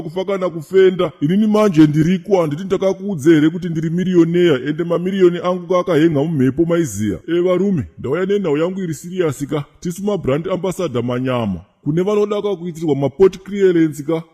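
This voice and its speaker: A man with a Zimbabwean accent voicing a boastful, swaggering cartoon millionaire pitching in slangy sales patter. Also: quick pace, hardly any pauses, dark tone. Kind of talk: comic cartoon advertisement.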